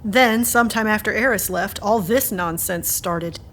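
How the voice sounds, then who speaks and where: A woman playing a casual, expressive character in an audio drama, with an informal, wry delivery. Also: mid-range voice, normal speed, slight background noise, natural voice. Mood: narrating.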